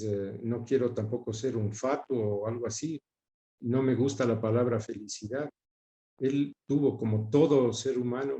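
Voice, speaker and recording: An older man from Ecuador answering interview questions in a measured, reflective way, often repeating words for emphasis. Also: medium pitch, mellow. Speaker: interviewee, son of a broadcaster.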